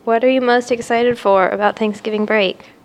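A woman's voice: fairly high pitch, fairly even intonation, moderate pace. A woman reporter narrating a scripted segment and asking students the same question. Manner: plain, read-from-script delivery.

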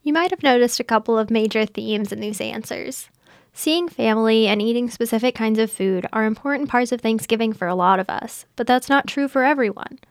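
A woman's voice: a little high, fairly quick, dry recording, clean studio sound. A woman reporter narrating a scripted segment and asking students the same question. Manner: plain, read-from-script delivery.

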